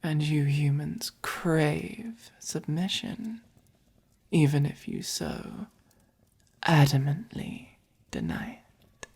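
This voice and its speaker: A woman voicing a gentle, possessive, doting vampire in a soft-spoken ASMR roleplay, with an English accent and breathy, whispery, coaxing delivery. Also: low-pitched, lively intonation, heavily acted. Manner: slow, coaxing, gently commanding. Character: gentle, possessive, doting dominant vampire keeping a human pet.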